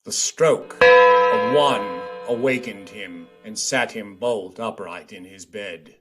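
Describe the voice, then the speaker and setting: A man narrating a radio play in a theatrical storybook style with deliberate phrasing. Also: on the low side. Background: music.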